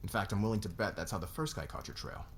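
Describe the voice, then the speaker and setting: A man playing a demanding, controlling boss in an ASMR roleplay, speaking in a measured, deliberate, commanding way with a gravelly voice. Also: low-pitched, expressive, fairly bright tone, slight background noise. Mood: firm, possessive. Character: demanding, controlling male boss, a powerful calm lord.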